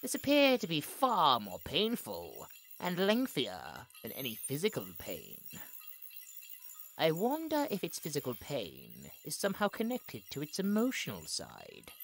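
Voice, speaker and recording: Low-voiced, theatrical cold woman scientist, acted out by a woman. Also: wildly swooping pitch, a little slow, vocal fry, soft background music, exaggerated voice. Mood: clinical, detached. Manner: theatrical, clinical log narration with dramatic emphasis. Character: cold clinical female scientist, gloating and imperious. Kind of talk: scripted horror audio drama.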